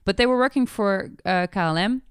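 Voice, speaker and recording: A woman hosting a podcast, chatting casually and telling animated stories with quoted asides. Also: mid-range voice, fairly quick, clean tone, no room sound, studio quality. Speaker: podcast host. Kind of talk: casual chat.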